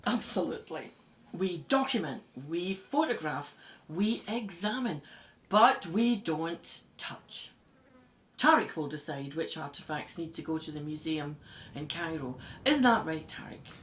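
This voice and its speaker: A woman's voice: low voice, animated, a little slow, a bit bright. A woman playing an older, gracious, commanding in a radio drama. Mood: brisk, expectant. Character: seasoned woman Egyptologist, gracious, commanding team leader.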